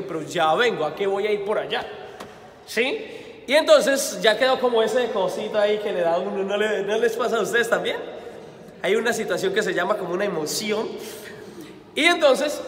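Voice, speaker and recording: High-voiced Colombian priest preaching in an echoey church. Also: male voice. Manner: lively, asks the congregation questions and answers them, tells personal stories. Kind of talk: homily at mass. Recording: echoey church hall, faint background noise.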